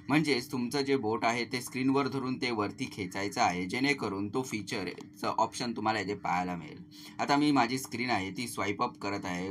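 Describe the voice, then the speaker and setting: A man's voice: mid-range voice, fairly bright tone. A man narrates a step-by-step tech tutorial as a channel host, explaining things to viewers as friends. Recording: clearly noisy voice-over recording.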